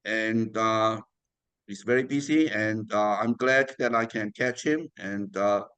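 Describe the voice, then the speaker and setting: A man's voice: fairly low pitch, fairly even intonation, a little slow, fairly bright tone, clear, resonant tone, dry recording. A man hosting an interview, asking friendly, rambling questions with lots of fillers. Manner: friendly, rambling with many "you know" fillers. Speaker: interview host.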